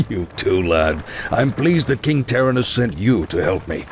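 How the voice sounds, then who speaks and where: A seasoned knight commander in a fantasy game. He is hearty and avuncular, with a low voice and lively intonation, over faint ambient noise. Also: a little slow. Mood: genial. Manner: courteous, avuncular. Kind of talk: fantasy game dialogue acting.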